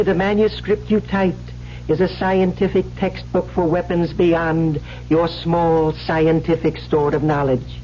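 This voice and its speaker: An actor playing a cold, superior alien villain in a radio drama, speaking in a measured, formal, condescending way. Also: male voice, fairly high pitch, dark tone, natural voice. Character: cold, superior male alien plotting humanity's destruction. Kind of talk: 1970s radio drama acting. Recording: dull, faintly hissy old radio recording.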